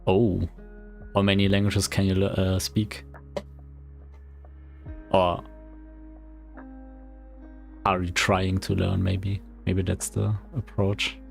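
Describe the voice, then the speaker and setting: Low gravelly man with a German accent. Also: slow pace, pauses often, soft background music. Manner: rambling, hesitant, relaxed. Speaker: indie game developer streaming. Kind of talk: casual livestream chat.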